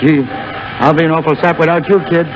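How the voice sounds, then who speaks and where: A man acting a hapless, lovesick burlesque comic with a high voice. His talk is slangy, plaintive and hesitant, in old radio drama style on a muffled, noisy 1930s broadcast. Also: fairly even intonation, fairly quick, natural voice. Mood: wistful, rueful.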